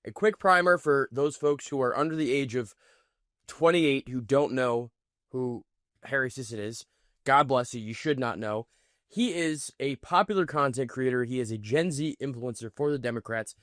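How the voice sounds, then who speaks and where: A man's voice: mid-range voice, moderate pace, a bit bright, no room sound, studio quality. A man hosting a political reaction video, commenting in an indignant, mocking way. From United States. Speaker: commentary host.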